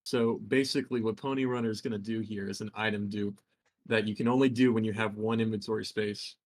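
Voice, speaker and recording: A man with a low voice explains a game trick calmly, step by step, as he reads his part in a group reading. His delivery is even and plain. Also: fast talker, no room sound. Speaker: co-reader.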